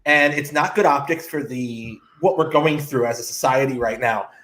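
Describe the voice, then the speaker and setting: A man's voice: medium pitch, normal speed, a bit bright. A man co-hosting a casual sports talk show, giving blunt opinions in short, punchy phrases.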